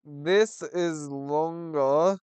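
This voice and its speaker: A man's voice: a little high, very slow, a little mellow, clean tone, no room sound. A man who is sick and losing his voice, chatting casually and hesitantly to his viewers, thinking aloud as he goes. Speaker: gaming channel host. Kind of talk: casual chat to camera.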